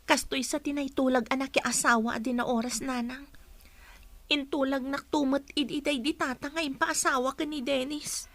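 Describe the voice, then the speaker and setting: A woman's voice: sits high, fairly even intonation, moderate pace, fairly bright tone, dry recording. A Filipino woman playing a distressed young wife and mother in a radio drama, speaking in a plaintive, pleading way. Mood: downcast. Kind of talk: Philippine radio drama acting.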